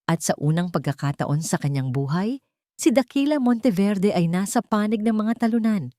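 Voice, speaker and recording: A woman's voice: medium pitch, expressive, moderate pace, dark tone, no room sound, clean studio sound. A woman with a Filipino accent narrating a scripted dramatic story.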